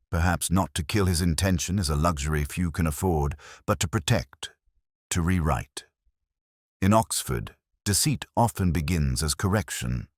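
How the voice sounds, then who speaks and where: A man reading a scripted first-person detective story in a measured, reflective, literary manner. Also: rumbling low, dry recording, studio quality. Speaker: narrator.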